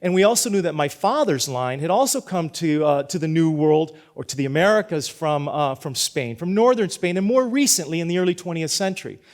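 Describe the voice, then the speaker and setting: A middle-aged man from Cuba, a teacher, tells a personal story in an earnest, measured way. His voice sits a little above the usual male pitch. He restarts and repeats words as he goes. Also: lively intonation. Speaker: teacher giving a talk. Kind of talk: prepared personal talk.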